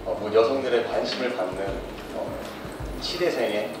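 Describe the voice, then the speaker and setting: A man's voice: fairly low pitch, moderate pace, background noise. A man in his thirties at a press event, speaking measuredly with many fillers into a handheld mic. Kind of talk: press interview answers. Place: indoor press conference hall. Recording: echoey hall from far off.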